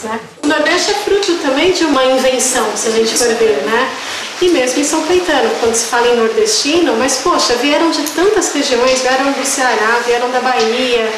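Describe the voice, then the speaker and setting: A woman in a documentary interview, explaining local history in a measured way and often adding tag questions. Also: high-pitched. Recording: very echoey room with clear noise.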